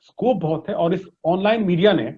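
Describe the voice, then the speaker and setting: A man with an Indian accent speaks in a fairly high voice. He explains things step by step like an instructor, slipping between languages as an interview guest. The recording is dull and slightly lo-fi. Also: a little fast. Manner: didactic, frequent check-in tags. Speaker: interview guest, voice industry expert. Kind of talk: explanatory interview answers mixing Hindi and English. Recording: dull, lo-fi audio.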